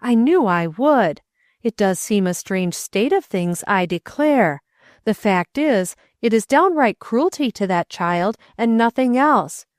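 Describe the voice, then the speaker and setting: A woman voicing a graying, plain-spoken aunt in a storybook, speaking in a measured and reluctant way. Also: mid-range voice, animated, dry recording, clean studio sound, pitched above the performer's own voice. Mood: rueful. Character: graying aunt, tactful but grim.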